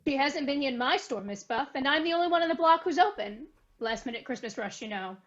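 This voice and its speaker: A woman's voice: high-pitched, expressive, a little fast, fairly bright tone. A woman playing a chatty, folksy shopkeeper in a radio drama. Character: chatty shopkeeper working late. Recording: dull, muffled radio play recording.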